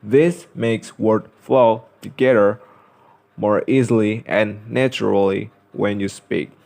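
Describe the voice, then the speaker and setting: A young man reads a scripted lesson as a student presenter, carefully and haltingly, pausing in the middle of phrases. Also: fairly low pitch, fairly even intonation, gravelly, darkish tone. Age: young adult.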